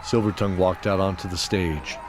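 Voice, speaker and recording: A man's voice: low voice, fairly even intonation, brisk, noisy background. A man giving scripted narration of a fantasy story as its narrator.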